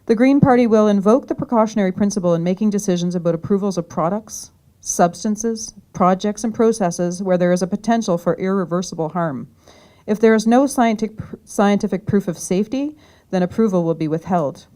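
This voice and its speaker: A woman's voice: mid-range voice, brisk, dark tone. A woman candidate giving an earnest, prepared campaign speech, reading from notes. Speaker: political candidate. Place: community hall.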